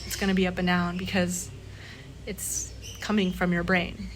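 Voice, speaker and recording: A woman talking casually to her vlog audience in a reflective, halting way, often pausing between short phrases. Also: fairly low pitch, level tone. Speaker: vlogger. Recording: clearly noisy background ambience.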